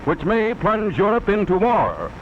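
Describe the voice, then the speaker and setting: A man's voice: high-pitched, wildly swooping pitch, measured pace. A man reading a 1930s newsreel script in a declamatory, dramatic way. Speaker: newsreel narrator. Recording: scratchy 1930s newsreel, dull sound, loud music. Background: loud music.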